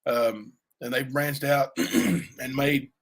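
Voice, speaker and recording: A man with a raspy voice, chatting loosely on a livestream and answering viewers, full of fillers and asides. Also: mid-range voice, steady tone, slow. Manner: rambling, many fillers and asides to chat. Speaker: livestream cohost; youth basketball coach. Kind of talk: casual livestream chat.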